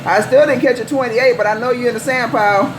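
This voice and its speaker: A woman's voice: on the high side, fast talker. American woman bantering on noisy CB radio. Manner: folksy, repeats calls and handles. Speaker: CB radio operator. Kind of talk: CB radio banter full of slang and sign-offs. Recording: noisy live CB radio setup.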